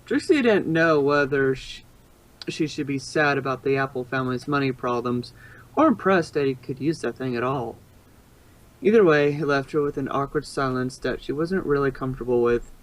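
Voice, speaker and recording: A man's voice: mid-range voice, moderate pace, low-quality recording. A man narrates a story aloud in an ordinary mid-range voice. His reading is informal and unpolished, with the odd stumble and reread. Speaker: narrator, fan fiction reader.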